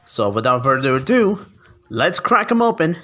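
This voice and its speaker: A young man presents a toy review in a casual, enthusiastic way. His voice sits at a middle pitch, and his delivery is halting, with words often repeated. He talks to a camera in a room at home. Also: very animated, a bit bright. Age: young adult. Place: small furnished room at home, indoors.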